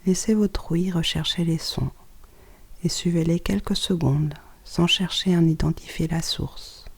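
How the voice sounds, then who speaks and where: A yoga nidra guide giving calm, soft-spoken instructions in short phrases with pauses, often close to a whisper. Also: medium pitch, vocal fry, husky. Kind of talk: guided relaxation meditation, scripted instructions.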